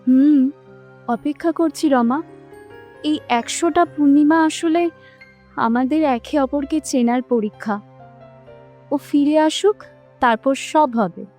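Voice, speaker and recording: A high-pitched young cartoon princess played by a woman, resolute and caring. Light music runs behind her fairy-tale dialogue. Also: slow, long pauses, a little mellow. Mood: wistful.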